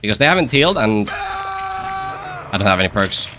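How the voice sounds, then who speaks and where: A man's voice: on the high side, huge pitch swings, moderate pace, over music, low-quality recording. A man giving casual gameplay commentary as a streamer, rambling and repeating phrases with self-deprecating, joking asides. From Finland. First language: Finnish. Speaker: game streamer.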